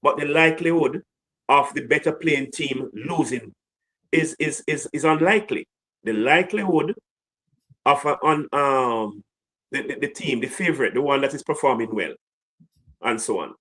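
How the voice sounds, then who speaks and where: Halting Caribbean man analysing sport. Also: medium pitch, fairly bright tone. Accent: Caribbean English. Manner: halting, many fillers and restarts. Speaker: co-host analyst.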